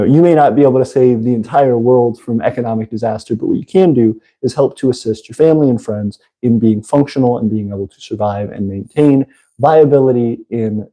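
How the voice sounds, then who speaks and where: Man, congested, nasal, very bright voice, halting livestream host. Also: mid-range voice, poor audio. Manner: halting, many fillers. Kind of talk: casual livestream talk. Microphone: phone.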